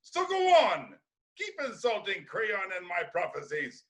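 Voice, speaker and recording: Man acting a high, sharp, defiant blind prophet. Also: huge pitch swings, unhurried, exaggerated voice. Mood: ominous, bitter. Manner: declamatory, defiant, ominous. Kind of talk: dramatic classical Greek tragedy reading.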